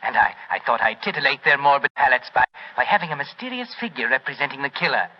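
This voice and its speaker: Dull old radio recording: man playing a sly, polite macabre showman, rapid speech, slightly high voice. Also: animated, close to an everyday voice. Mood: confiding, sly. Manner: polite, theatrical, quick delivery. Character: courteous, sly waxworks proprietor relishing the macabre. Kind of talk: 1940s radio drama acting. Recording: muffled 1940s radio recording.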